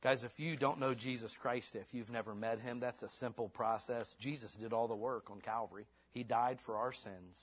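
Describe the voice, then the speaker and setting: A man in his fifties preaching a sermon in church. He swings between hushed, intimate lines and fervent, rising exhortation, then closes in prayer. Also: medium pitch, fairly even intonation, moderate pace, hardly any pauses, slightly rough. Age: 50s. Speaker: pastor.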